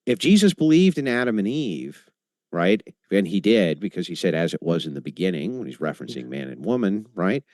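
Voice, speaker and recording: A man's voice: deep voice, sing-song, a little fast, darkish tone, no echo. A man in a debate, pressing his opponent with pointed follow-up questions. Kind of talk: live debate.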